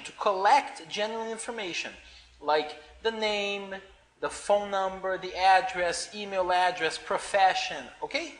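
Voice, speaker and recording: A man teaching beginners English, talking slowly and deliberately. His pitch swings up and down a lot as he stresses each point. He keeps checking in with the class through a frequent "okay?". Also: fairly bright tone.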